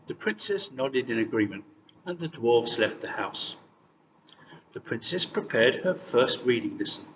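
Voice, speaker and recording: A man reading a fairy tale aloud as scripted, expressive narration. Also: mid-range voice. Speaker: storyteller. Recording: distant, reverberant hall sound with noise.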